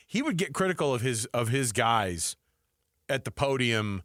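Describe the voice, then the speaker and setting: Lively male sports talk co-host. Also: medium pitch, no room sound, clean studio sound. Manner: informal, thinks aloud, poses questions to co-host. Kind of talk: conversational sports debate.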